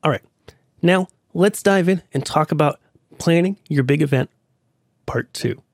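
A man's voice: a little high, a little slow, no room sound, clean studio sound. A man hosting his own podcast while under the weather with a cold, talking casually and rambling with many fillers. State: has a cold. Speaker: podcast host. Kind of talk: casual solo podcast talk.

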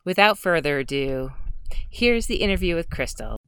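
A woman's voice: on the low side, expressive, normal speed, fairly bright tone, clean tone. A woman hosting an interview podcast, introducing topics and asking her guest questions. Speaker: podcast host.

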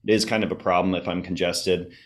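A man chatting casually as a podcast guest, informal and explanatory, his voice slightly hoarse and a little congested. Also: sits low, little pitch movement, a little fast. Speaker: podcast guest, health enthusiast. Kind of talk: casual conversational interview.

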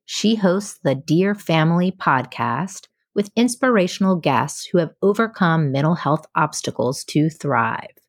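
Woman podcast host, slightly low, dry sound. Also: expressive, a little slow, hardly any pauses, husky, no echo. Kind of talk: scripted podcast intro and interviewing.

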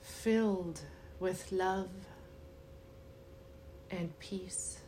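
Very slow, even-toned woman guiding meditation. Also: on the low side, a little hiss or hum. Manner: soothing, unhurried, with long pauses. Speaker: meditation guide. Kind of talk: guided meditation.

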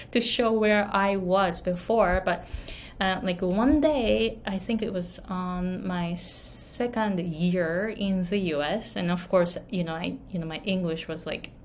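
Slow-talking Japanese-accented woman. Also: mid-range voice, slight background noise. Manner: laughs often, many fillers and restarts. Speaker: English pronunciation coach. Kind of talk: casual talk, storytelling tips.

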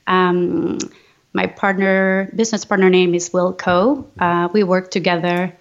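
A woman from Venezuela, a designer speaking in a soft, hesitant way with many ums. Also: on the low side, little pitch movement, unhurried, muffled recording. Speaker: graphic designer, guest. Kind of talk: casual interview.

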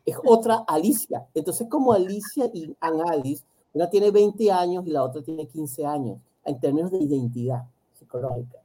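A man's voice: on the high side, normal speed, mellow, breathy edge, rough recording. A man, a psychologist, talking reflectively in an interview, often restarting and repeating words. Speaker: psychologist guest. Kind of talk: interview conversation.

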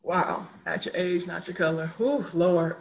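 Earnest woman with a low voice. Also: a little slow, vocal fry, rough recording. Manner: earnest, reflective, repeats words for emphasis. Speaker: podcast guest. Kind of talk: candid conversational storytelling.

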